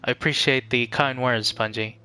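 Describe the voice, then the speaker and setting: Male streamer, mid-pitched and bright, reflective casual chat with halting phrasing over faint game music. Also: fairly even intonation.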